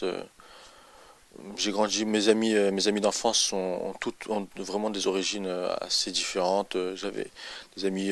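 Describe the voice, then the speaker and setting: A young man in his mid-twenties with a slightly low voice. He tells his own story unscripted, in a halting manner, often hesitating as he searches for the next words. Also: a bit bright, dry recording. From Paris, France. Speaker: convert giving personal testimony. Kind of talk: autobiographical testimony, unscripted.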